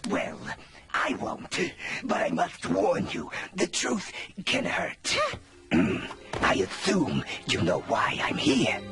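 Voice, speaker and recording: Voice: medium pitch, huge pitch swings, slow pace, over music, heavily acted. An actor voicing a zany talking beaver doll who acts as a scolding conscience in a cartoon, with a hammy showman's delivery. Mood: wry, admonishing. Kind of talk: animated cartoon voice acting.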